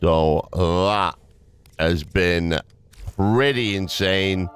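A man's voice: deep voice, very slow. A man hosting a gaming video, giving casual, laid-back and appreciative playthrough commentary into a close mic. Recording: close dry mic, game music behind.

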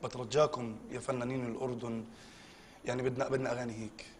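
A young man with a Jordanian accent talks very fast and eagerly as a singer guest on a talk show. His voice is mid-pitched and comes through a handheld mic. A faint hum of the studio sits behind him. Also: level tone. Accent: Jordanian Arabic. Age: young adult. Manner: eager, quick agreeing interjections. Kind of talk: casual talk show chat. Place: large studio set, indoors.

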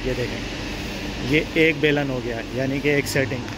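A man's voice: mid-range voice, fairly even intonation, measured pace. Pakistani-accented male vlogger, explanatory tour guide, phone mic outdoors, heavy background noise. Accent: Pakistani Urdu. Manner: explanatory, pointing things out, repeats "ye dekhen". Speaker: glass bangle trader giving a factory tour. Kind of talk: casual walking tour narration to viewers. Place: outdoor glass bangle factory yard and furnace shed.

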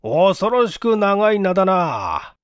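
A man's voice: sits high, big pitch swings, slow, no echo, studio quality, pitched above the performer's own voice, exaggerated voice. A man voicing an authoritative, probing police chief in a mystery audiobook, with commanding, old-fashioned official speech. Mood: startled, puzzled. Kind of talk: dramatic audiobook character acting.

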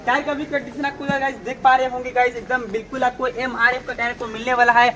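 High-voiced young man touring used cars. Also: level tone, fast, sharp tone, poor audio. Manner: repetitive filler-heavy presenting, constant "guys". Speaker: car showroom video host. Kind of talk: walkaround car tour, addressing viewers. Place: outdoor used car lot.

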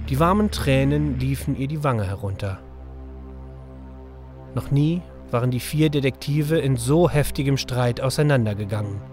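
A man reading scripted narration as the narrator of a children's audio drama. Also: medium pitch.

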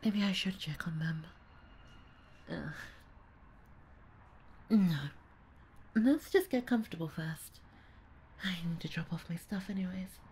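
A woman playing a young, lazy and easily flustered babysitter in an ASMR audio roleplay, with a breathy, hesitant delivery. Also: lowish voice, expressive, takes it slowly, pauses often, darkish tone, a little hiss or hum. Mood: idle muttering. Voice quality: husky, breathy, often dropping to a near-whisper. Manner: hesitant, muttering, sighing, trailing off. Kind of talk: ASMR audio roleplay, solo character acting.

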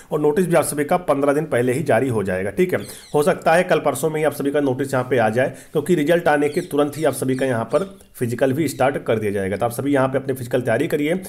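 Fast-talking man explaining. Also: medium pitch, a little mellow. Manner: repetitive, emphatic explaining with frequent check-in tags. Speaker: host of exam-update channel. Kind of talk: explainer addressed to students.

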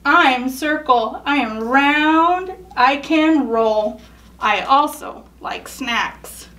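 Lady doing a friendly round cartoon shape, high and slow, simple and plain. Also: sharp tone, recorded from a distance, exaggerated voice. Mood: cheerful.

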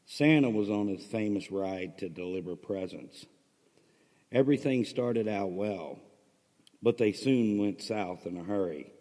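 A man's voice: on the low side, measured pace, dark tone. Reverb-heavy male story reader. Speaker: host reading own stories. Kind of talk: introduction and story narration. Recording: long reverberant hall-like echo.

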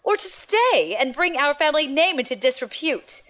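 A woman acts a haughty, deadly young woman in an audio drama. Her high voice swings theatrically with scorn and menace, over faint noise. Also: very animated, normal speed, a bit bright. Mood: scornful.

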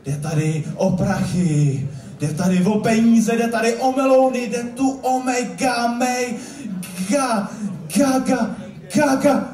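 A man performs slam poetry with a very high-pitched, theatrical delivery. He declaims and repeats words for emphasis. The sound is strongly echoey and distant, as from a stage in a club. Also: warm tone. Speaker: slam poet. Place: club stage at a live competition.

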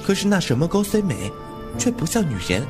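A man's voice: high-pitched, big pitch swings, brisk, over music. A man playing a glib, cheeky young swordsman in a Chinese martial-arts radio drama, with a teasing, flippant manner. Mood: curious.

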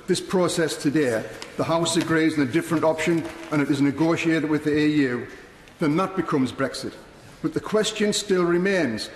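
A middle-aged man, a member of parliament, reading a prepared speech in a large debating chamber, earnest and measured. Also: on the high side, slight background noise. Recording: echoey chamber with long reverb.

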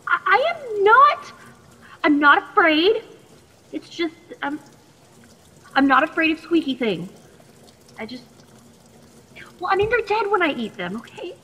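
A woman's voice: very high-pitched, sing-song, a little slow, long pauses, bright, forceful tone, exaggerated voice. A woman playing a plucky, nervous, chatty teenage captive in a radio play, stammering politely in a reverberant recording. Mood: flustered, defensive. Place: video call performance. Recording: long echoing reverb, faint noise.

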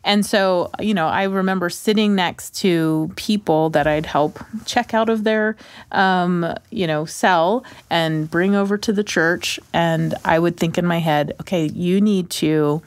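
A woman with a somewhat low voice talks reflectively in a casual discussion. Her manner is earnest, and the recording is dry with no room sound. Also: a little slow, clean tone, no echo. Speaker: radio show panelist. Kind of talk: casual chat.

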